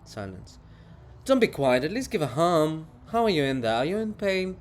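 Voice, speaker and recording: A man playing a gentle, soft-spoken monument friend. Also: a little high, very animated. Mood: concerned, coaxing. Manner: soft-spoken, coaxing, measured. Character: living Gogol monument, gentle, patient, gently needling male friend. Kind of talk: theatrical dramatic reading of a farce.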